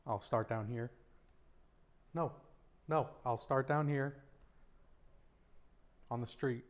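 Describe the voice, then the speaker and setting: A man with a low voice performing improv comedy scenes, blunt and often breaking into laughter. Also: slow.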